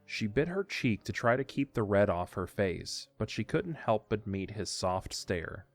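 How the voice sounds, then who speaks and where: A man's voice: on the low side, sing-song, measured pace, dry recording, soft background music. A man narrating a scripted audio drama as its storyteller.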